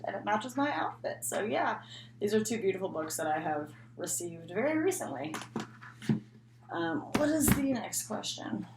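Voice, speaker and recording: A woman talking casually and unscripted as a book vlogger, rambling and often interrupting herself. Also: mid-range voice. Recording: echoey room, faint background noise.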